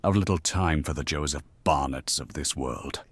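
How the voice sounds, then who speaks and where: A very deep-voiced Victorian police inspector in an audio drama. He is stern and formal, with measured, pointed sarcasm and a short temper. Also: dry recording. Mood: measured menace.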